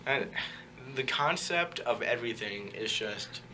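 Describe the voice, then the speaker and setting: A young man co-hosting a radio show in casual chat, rambling and halting as he searches for words. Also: medium pitch, animated, sharp tone, slight background noise, rough recording. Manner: rambling, halting, many restarts and "uh"s.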